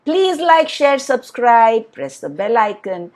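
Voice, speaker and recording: A woman hosts a children's story session. Her delivery is highly animated, with pitch that swings widely, and she addresses her young listeners directly in an expressive bedtime-story style. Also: takes it slowly. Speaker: children's storyteller and channel host.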